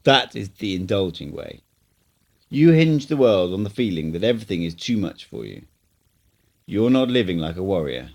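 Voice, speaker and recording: A man's voice: deep voice, very animated, moderate pace, a little mellow, exaggerated voice. A man reading an audiobook, voicing a blunt, scolding old male sorcerer teacher. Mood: stern. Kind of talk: audiobook character voice.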